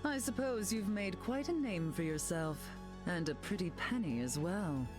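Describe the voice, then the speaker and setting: A woman's voice: on the low side, expressive, normal speed, natural voice. A woman playing a glamorous telepathic queen in a video game, poised, theatrical and condescending. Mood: teasing, haughty. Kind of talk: video game hero voice lines. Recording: game dialogue over loud music.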